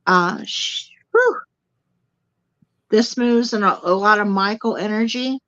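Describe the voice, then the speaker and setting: A woman's voice: mid-range voice, slow, fairly bright tone, dry recording. A woman guest in a casual spiritual chat, talking animatedly, repeating words for emphasis and speaking straight to the viewers. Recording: dull lo-fi sound, dry.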